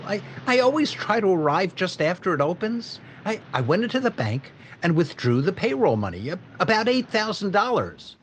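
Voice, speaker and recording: An actor playing a shaken factory owner in a 1940s-style radio drama, talking in a hesitant, stammering way. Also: male voice, high-pitched, noisy background. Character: shaken middle-aged male factory owner robbed of payroll.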